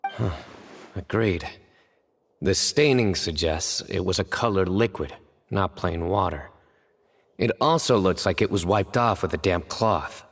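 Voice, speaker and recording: A low-voiced stern young judge. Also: male voice. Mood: deductive. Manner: measured, deductive, calm. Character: stern young male desert judge, sharp investigator.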